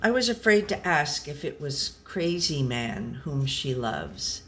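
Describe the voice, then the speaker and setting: Slow, deadpan storybook pet read by a woman. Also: sits low, slight background noise, exaggerated voice. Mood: wary, puzzled. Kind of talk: scripted storybook narration.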